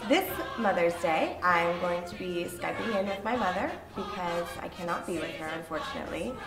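Sincere actress, echoey room. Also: female voice, lowish voice, moderate pace, over music. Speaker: stage actor in a musical. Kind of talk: interview with a message to her mother.

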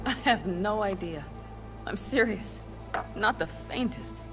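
A woman's voice: mid-range voice, expressive, slow pace, music in the background. A woman playing a blunt, loyal, caring young nomad in a video game. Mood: dry, relieved. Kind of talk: video game dialogue acting.

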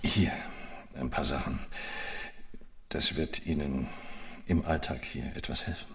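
An actor playing a reassuring, apologetic male ambassador who speaks softly and hesitantly in a radio drama. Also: extremely low pitch, unhurried.

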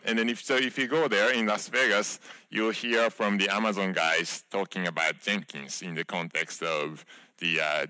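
A man's voice: sits low, sharp tone, no echo, rough recording. A man in his thirties giving a conference keynote on a large stage, talking conversationally with many fillers and restarts. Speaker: keynote speaker, software project creator.

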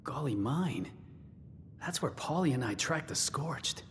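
An actor playing a somber, blunt and weary wasteland companion in a video game, speaking in a grave, measured way. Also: male voice, expressive, a bit bright. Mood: subdued. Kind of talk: video game dialogue acting. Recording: reverberant game audio with rumbling noise.

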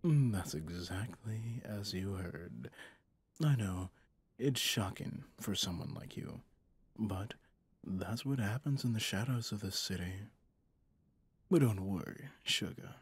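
A man voicing a possessive, smug mafia boss and smitten lover in an intimate ASMR roleplay, teasing and dominant, with a gravelly, breathy, often half-whispered voice. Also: lowish voice, lively intonation, exaggerated voice. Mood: possessive, unapologetic. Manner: slow, teasing, dominant, pet names.

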